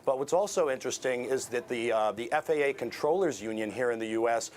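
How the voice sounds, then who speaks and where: An American-accented man speaks as an expert news guest at an average pitch. His explanations come haltingly, with small hesitations, over faint background noise. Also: darkish tone.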